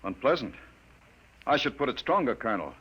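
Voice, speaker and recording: An actor playing a proud, self-assured scholar from the stars in a 1950s radio drama, formal and haughty. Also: male voice, mid-range voice, very animated, brisk, fairly bright tone, husky, poor audio. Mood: indignant. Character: proud, indignant gentleman scholar from outer space. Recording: old radio recording with music behind.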